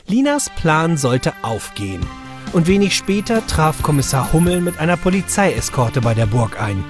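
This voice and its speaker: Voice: mid-range voice, very animated, moderate pace. A narrator reading a scripted children's detective story for an audio play. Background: music.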